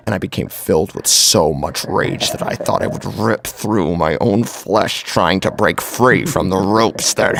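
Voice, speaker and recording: A man's voice: rumbling low, vocal fry, slight background noise. A man narrating a horror story in the first person, in a grave, brooding, dramatic manner.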